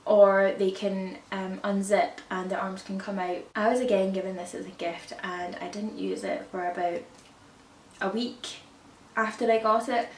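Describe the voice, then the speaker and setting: A woman with a croaky voice from a cold, chatting casually to camera about baby products and often correcting herself. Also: mid-range voice, level tone, moderate pace. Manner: rambling, self-correcting, chatty. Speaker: parenting vlogger. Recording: echoey room, distant mic, faint hiss.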